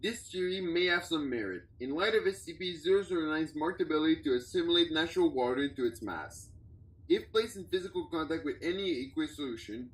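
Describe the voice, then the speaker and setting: A man narrating a scripted audio log, reading a scientific report in a formal, clinical manner. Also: a little high. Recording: roomy, echoey, distant from the mic.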